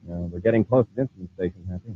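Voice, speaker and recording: A man playing a calm, authoritative space commander who reasons aloud and gives crisp orders, in 1950s radio adventure style. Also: low voice, very fast. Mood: relaxed, thoughtful. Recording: muffled, tinny old radio recording, noisy.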